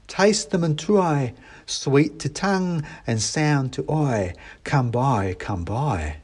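A man's voice: medium pitch, animated, takes it slowly, a little mellow, slightly rough, voice pushed up. A man voicing a cheery male mouse market trader, calling out a coaxing sing-song patter.

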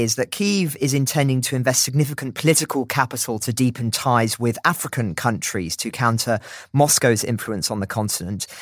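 A man's voice: mid-range voice, dry recording. Man, podcast host, mid-range voice, dry room-free sound, news presenting and interviewing.

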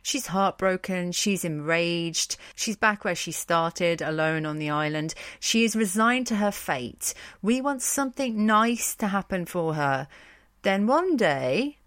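A woman's voice: medium pitch, a bit bright, no room sound, studio quality. A woman hosting a podcast, giving conversational commentary with wry, informal asides. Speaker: podcast host and storyteller. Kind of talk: conversational commentary on myth and books.

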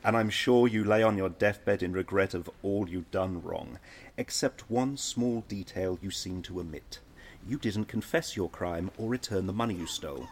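A man's voice: low voice, normal speed, slight background noise. A man playing a smug, sneering prosecutor in an audio drama, formal, sarcastic and probing. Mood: accusing. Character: smug, sneering prosecuting lawyer, educated and needling.

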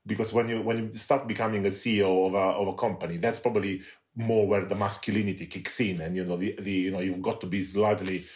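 A man's voice: on the low side, fairly even intonation, fast talker, darkish tone. A middle-aged man from Italy speaking in a casual podcast chat, hesitant and candid, often restarting his sentences. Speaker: podcast guest.

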